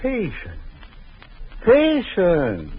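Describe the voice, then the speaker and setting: An actor playing a cruel, vengeful doctor in an old radio drama, taunting in a slow, sinister way. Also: male voice, sits high, huge pitch swings, husky, exaggerated voice. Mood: menacing. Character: vengeful jealous male doctor, gloating and cruel. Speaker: villain. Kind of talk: 1940s radio acting. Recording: muffled old radio recording.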